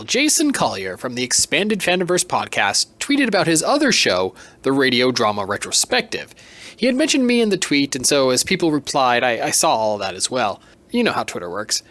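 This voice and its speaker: A man's voice: a little high, fairly bright tone, no room sound. A man hosting a podcast solo, talking in a casual, chatty, self-deprecating and emphatic way. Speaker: podcast host.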